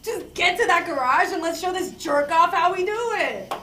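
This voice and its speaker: A woman's voice: very high voice, normal speed, a crowd in the background. A woman reading a character's lines at a comedy script table read. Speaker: reader of a character part. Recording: noisy echoey room, far from mic.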